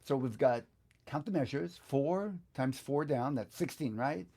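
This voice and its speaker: A middle-aged man with a relaxed, gravelly voice at a medium pitch teaches step by step. His delivery is informal and unhurried, as he talks himself through each task. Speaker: guitar teacher giving a software tutorial. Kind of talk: casual step-by-step tutorial with thinking aloud.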